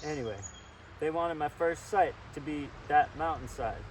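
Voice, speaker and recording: A man's voice: on the high side, normal speed. Man reading a storybook outdoors, noisy, distant mic. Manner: chatty, easily sidetracked, commenting as he reads. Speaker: storybook reader. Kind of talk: informal read-aloud with personal asides. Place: outdoors by a river. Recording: distant outdoor mic with steady background noise.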